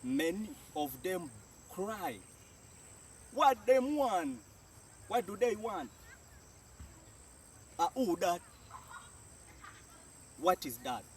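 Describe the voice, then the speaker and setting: Jamaican man teaching, slightly high voice, very lively pitch, recorded from a distance. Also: extremely slow, pauses often. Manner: says each phrase then translates it, often repeating. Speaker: language teacher. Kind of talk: slow spoken language lesson. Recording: distant mic with faint outdoor noise.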